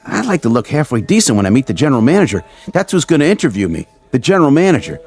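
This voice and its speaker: A man's voice: mid-range voice, very animated, rapid-fire, a little mellow, soft background music. An actor playing a down-on-his-luck American ex-ballplayer, earnest and doubtful, in a hesitant, plain-spoken radio drama style. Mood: self-conscious, grateful. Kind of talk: vintage radio drama acting.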